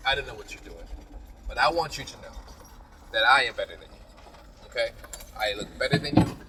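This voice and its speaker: A man's voice: medium pitch, expressive, brisk, bright, forceful tone, recorded from a distance, a little hiss or hum. A man who is a plumber, giving a rambling, teasing voice-over for a do-it-yourself repair video and talking straight to the viewer. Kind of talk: joking DIY voice-over. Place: small bathroom.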